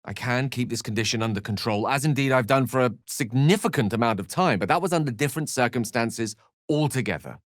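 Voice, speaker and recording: A man's voice: mid-range voice, brisk, no echo, close to an everyday voice. An actor playing an eloquent, scholarly male wizard with dry wit in a fantasy video game, speaking in articulate, theatrical phrases. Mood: earnest, intense.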